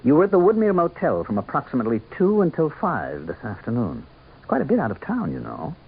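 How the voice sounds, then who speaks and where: An actor playing a sardonic, conniving campaign manager in a radio drama, with smug, needling, deliberate delivery. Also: male voice, a little high, huge pitch swings, breathy edge, exaggerated voice. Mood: quietly menacing. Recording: muffled, noisy old radio broadcast.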